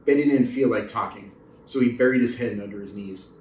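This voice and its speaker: A man's voice: fairly low pitch, a little fast, a little mellow, slight background noise. A man reading a children's story aloud as a narrator, recorded in a roomy space away from the mic. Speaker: storybook reader. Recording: roomy echo, distant mic.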